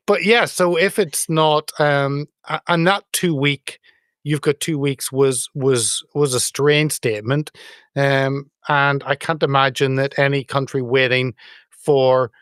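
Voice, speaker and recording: A man hosting a political chat show, talking in a halting, sarcastic way with many fillers. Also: medium pitch, fairly even intonation, fairly bright tone, smooth, dry recording, studio quality. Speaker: show host.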